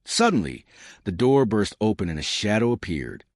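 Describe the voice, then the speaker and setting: A man narrating a scripted horror story in a measured, dramatic way. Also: on the low side, sing-song, dry recording, clean studio sound.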